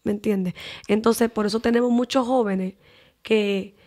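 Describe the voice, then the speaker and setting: A woman's voice: medium pitch, steady tone, measured pace, darkish tone. A young Dominican woman with a Dominican Spanish accent, an evangelist guest giving long, talkative answers in a podcast interview. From Dominican Republic. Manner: talkative, lengthy explanations.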